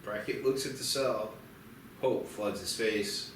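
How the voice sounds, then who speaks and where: A man's voice: on the low side, slow. A man narrating stage directions from a screenplay at a table read. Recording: echoey, distant mic, loud noise.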